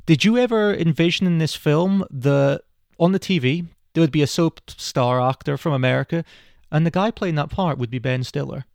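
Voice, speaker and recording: A man's voice: fairly high pitch, moderate pace, gravelly, a little mellow, dry recording, studio quality. A man hosting a film podcast, talking in a casual, discursive style.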